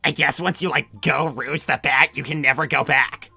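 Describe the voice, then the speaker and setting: A woman voicing a laid-back but excitable young male cartoon animal, hesitant and slangy in casual improvised chat. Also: low voice, normal speed, gravelly, breathy edge, soft background music, exaggerated voice. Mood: musing. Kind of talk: improvised in-character livestream chat.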